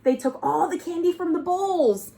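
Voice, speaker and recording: A woman's voice: very high-pitched, moderate pace, gravelly, mellow. A woman reading a children's storybook aloud as a storytime host, dramatic and exclamatory. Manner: exclamatory, dramatic, asking listeners questions. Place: at home.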